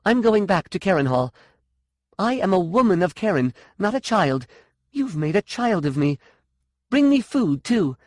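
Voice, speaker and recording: A man voicing a fierce, angry warrior woman in an audiobook, forceful and commanding in manner. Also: sits high, very animated, unhurried, no room sound, clean studio sound, pitched above the performer's own voice. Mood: defiant, commanding. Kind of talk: audiobook character dialogue.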